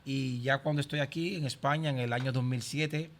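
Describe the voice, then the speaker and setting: A middle-aged Dominican man speaks as a guest pastor in an interview. His pitch sits in an ordinary male range, and he tells his own story in a conversational way. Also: fairly even intonation, a little fast, slight background noise. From Santo Domingo, Dominican Republic. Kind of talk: conversational personal testimony.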